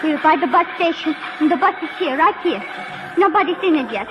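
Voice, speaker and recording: A woman's voice: high voice, lively intonation, brisk, lo-fi sound. An actress playing a devoted, anxious young Mexican girl with a Mexican accent and simple English in a 1940s radio drama. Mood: urgent, pleading. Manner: pleading, simple broken English. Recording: old radio broadcast recording.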